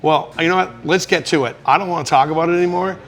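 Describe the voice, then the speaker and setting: A man's voice: fairly high pitch, fast talker, slight background noise. A man with a Boston accent hosting a casual travel and food vlog, chatting in a rambling, enthusiastic way. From Boston area. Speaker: travel show host.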